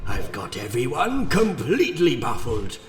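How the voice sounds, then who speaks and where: A man voicing a gloating, taunting winged supervillain in a comic book dramatic reading, with a theatrical, boastful, drawn-out delivery. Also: medium pitch, huge pitch swings. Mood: scheming, taunting. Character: gloating, taunting supervillain mastermind with artificial wings. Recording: echoey, long reverb, music underneath.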